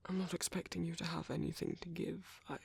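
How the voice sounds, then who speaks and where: A man with a British accent playing a gentle, caring vampire priest in a soft-spoken ASMR roleplay, hesitant, polite and reassuring in a half-whispered, breathy, gravelly voice. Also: a little high, flat delivery, fairly quick, no room sound, heavily acted.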